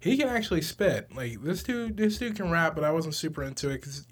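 A man's voice: fairly high pitch, very animated, brisk, slight background noise. A young man co-hosting a podcast, chatting casually about music with slangy, informal phrasing and some swearing. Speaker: podcast co-host.

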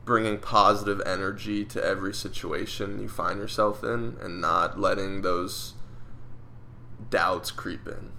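A man's voice: sits low, steady tone, measured pace, vocal fry, sharp tone, a little hiss or hum. A young man co-hosting a casual podcast, speaking in a thoughtful, agreeable way. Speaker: podcast co-host. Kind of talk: casual chat.

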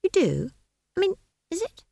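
A defiant young country girl from a storybook, played by a woman narrator. The voice is raised and lively in pitch, with blunt, pointed delivery that challenges whoever is listening. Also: normal speed, vocal fry, dry recording, clean studio sound, full character voice. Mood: defiant, surprised.

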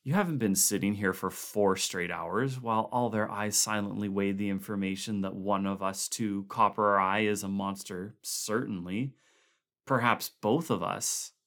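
A man's voice: lowish voice, steady tone, moderate pace, fairly bright tone. A man reading a tense, suspected camp man. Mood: strained. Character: tense, pleading man under suspicion.

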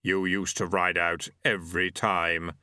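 A man voicing Death, a solemn and commanding horseman of the apocalypse, in a slow, weighty, formal manner for a storybook. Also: sits low, bright, forceful tone, no echo, studio quality, heavily acted. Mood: solemn, insistent.

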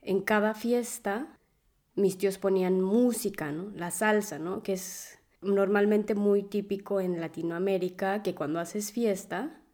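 A woman's voice: medium pitch, steady tone, unhurried, darkish tone. A woman, a dance teacher, telling her story as a podcast guest in a chatty way, often adding "¿no?". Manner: chatty, fills with "¿no?" and "este". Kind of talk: casual interview answers.

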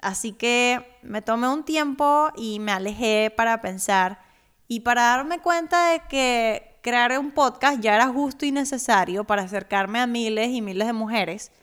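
A woman's voice: a little high, unhurried, clear, resonant tone. Upbeat woman podcast host from Cuba. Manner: upbeat, warm, personal storytelling. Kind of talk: personal finance podcast monologue.

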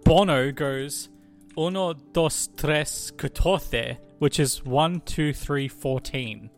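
A man's voice: on the high side, slow, vocal fry, soft background music. A young man co-hosting a casual podcast, joking and laughing often with disbelief. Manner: laughs often, jokey and incredulous. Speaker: podcast co-host. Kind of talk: casual banter over a game.

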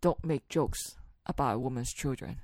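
A woman's voice: very deep voice, moderate pace, a little mellow, dry recording, faint music behind. A woman playing a stern, blunt outlaw gunslinger in a western radio drama, terse and matter-of-fact.

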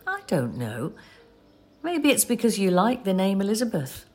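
An actress playing a patient, practical elderly wife in an audio play, explaining things in a fond, conversational way. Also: female voice, mid-range voice, wildly swooping pitch, normal speed, soft background music. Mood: fondly teasing. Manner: conversational, gently explaining, reassuring. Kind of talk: audio play acting with reflective narration.

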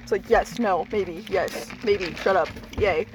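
A young woman co-hosting a casual car vlog, quieter and giggly, often trailing off mid-thought, recorded on a phone in a car. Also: medium pitch, a little slow, noisy background. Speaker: vlog co-host. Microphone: phone set down in car.

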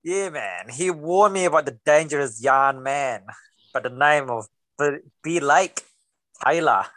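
A man's voice: a little high, a little slow, a bit bright, no room sound. Laid-back guy with a singaporean-kiwi accent. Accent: mixed Singaporean and New Zealand. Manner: laid-back, joking, bro slang. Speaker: podcast co-host. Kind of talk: casual podcast chat.

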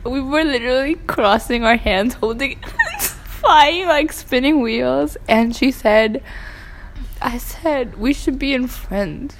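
A woman's voice: sits high, big pitch swings, slow pace, slight background noise. A young woman co-hosting a casual podcast, chatty and giggly as she tells stories. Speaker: podcast co-host. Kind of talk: casual chat. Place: indoors by windows with birds outside.

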